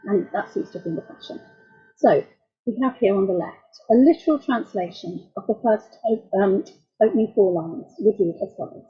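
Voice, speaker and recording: Husky-voiced woman giving a wry lecture. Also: mid-range voice, highs cut off. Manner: wry, explanatory, measured. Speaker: lecturer and translator. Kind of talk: academic talk.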